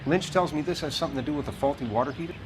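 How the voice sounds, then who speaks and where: An actor playing a wry, articulate, matter-of-fact coroner in a crime video game. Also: male voice, fairly high pitch, racing, background noise, natural voice. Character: dry, candid male coroner, loyal and wry. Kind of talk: dramatic game cutscene acting.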